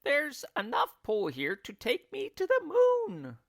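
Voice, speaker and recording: Storybook old hag of a wife: high, dramatic, silly, exclaiming. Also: male voice, huge pitch swings, a little slow, fairly bright tone, dry recording, studio quality, heavily acted. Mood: anxious. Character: ugly, beastly old wife, shrill and silly. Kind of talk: storybook character voice.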